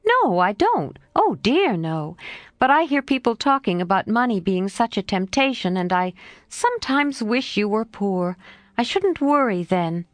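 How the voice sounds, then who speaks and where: A frank, spirited young girl, put on by a woman narrator, scolds her friend in a bright, eager voice that swoops upward. The pitch moves a lot, and the sound is close and dry with no room around it. It suits a classic storybook read aloud. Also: very animated, unhurried, dry recording. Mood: pleading.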